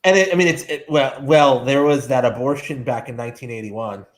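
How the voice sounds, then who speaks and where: A man's voice: mid-range voice, a little fast. A man giving joking opinions in casual sports banter as a panelist on a talk show.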